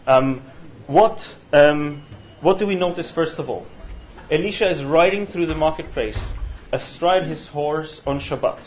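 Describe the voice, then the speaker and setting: A man with a Norwegian accent leading a university study session, talking in a halting way with many fillers and restarts. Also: on the high side, a little hiss or hum, poor audio. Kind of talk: university seminar lecture. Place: university room. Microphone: single room mic.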